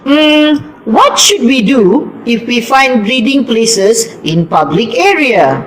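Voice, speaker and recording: A woman's voice: fairly high pitch, animated, slow pace, slight background noise, rough recording. A woman voicing an eager, polite young schoolboy in an amateur puppet show, with an exclamatory recited delivery.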